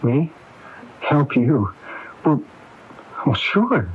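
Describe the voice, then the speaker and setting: A man's voice: mid-range voice, big pitch swings, extremely slow, husky, heavily acted. Puzzled, unsure man, tinny radio recording. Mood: surprised, hesitant. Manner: questioning, unsure. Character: hesitant, puzzled male accomplice in murder mystery. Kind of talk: 1980s radio drama acting. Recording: thin, muffled AM radio recording.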